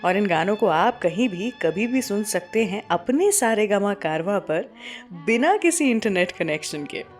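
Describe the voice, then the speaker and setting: Woman radio host, chatty music show presenter, talks right to the listener. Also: medium pitch. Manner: conversational, addresses listeners directly.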